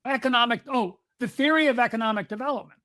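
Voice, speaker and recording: A woman's voice: a little high, fast talker, bright, forceful tone. A woman co-hosting a podcast in casual discussion, explaining ideas at length and drifting into side topics. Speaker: podcast co-host.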